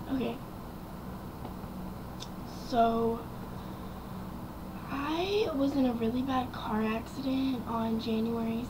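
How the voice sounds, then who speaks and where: A young woman with a raspy, quiet voice, telling her personal story to camera in a rambling way with many ums and likes. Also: fairly high pitch, noisy background. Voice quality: raspy and quiet from vocal cord damage. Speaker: vlogger.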